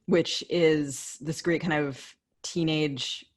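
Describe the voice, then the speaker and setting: A woman's voice: deep voice, steady tone, takes it slowly. A woman with an American accent co-hosting a podcast, asking questions in a thoughtful, conversational way. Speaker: podcast co-host. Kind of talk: casual interview questions.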